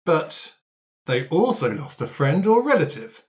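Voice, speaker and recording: A man's voice: a little high, very animated, measured pace. A man chatting casually as a player in a tabletop roleplaying show, improvising backstory. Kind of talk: casual improvised game discussion.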